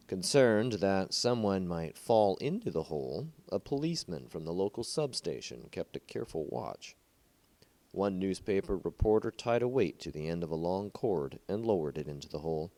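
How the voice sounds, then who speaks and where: A man's voice: lowish voice, dark tone, dry recording. A man narrating a short story from a script as an amateur reader.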